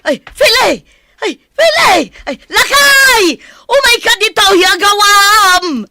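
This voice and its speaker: A woman's voice: very high voice, expressive, slow pace, background noise, full character voice. A woman acting an emotional, motherly older village wife in an Ilocano radio drama. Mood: panicked.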